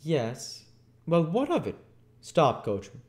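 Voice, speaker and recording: A man playing an impetuous gentleman doctor in a storybook reading, brusque, excitable and full of bluster. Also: fairly high pitch, slow, pitched above the performer's own voice, full character voice. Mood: bustling concern.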